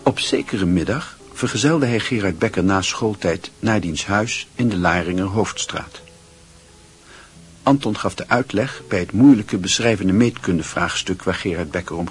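A man narrating a radio play in a measured, literary storytelling manner. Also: deep voice.

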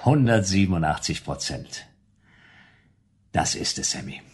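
A low, rough-voiced private eye from a futuristic radio play. He is brooding and terse, with dry wit. His delivery moves expressively up and down as he narrates his case. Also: very animated, unhurried, darkish tone, heavily acted. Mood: determined. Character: world-weary, dogged male private detective in sci-fi future. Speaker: lead actor narrating and playing a detective. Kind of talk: German radio drama acting, first-person noir narration.

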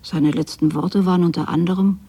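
Husky, pleading, lonely mother. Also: fairly low pitch, fairly even intonation, brisk, mellow. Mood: wistful, sad. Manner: tender, pleading, plaintive. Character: lonely, possessive, doting widowed mother pining for her absent son. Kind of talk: intimate radio drama monologue, spoken as a letter.

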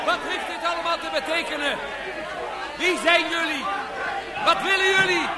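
A man's voice: very high-pitched, fairly even intonation, normal speed. A man playing a stern, indignant commandant in a radio drama, barking officious commands. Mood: indignant, commanding. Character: stern, indignant commandant defying a rebel mob. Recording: outdoor crowd noise behind.

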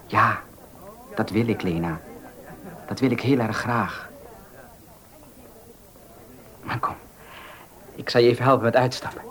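An actor playing an earnest, proud young farmer in a radio play, husky and plain-spoken, with emotional delivery. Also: male voice, fairly low pitch, moderate pace, fairly bright tone, close to an everyday voice. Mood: eager, courting. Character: earnest young horse-loving farmer, proud, short of money. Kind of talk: Dutch radio play acting.

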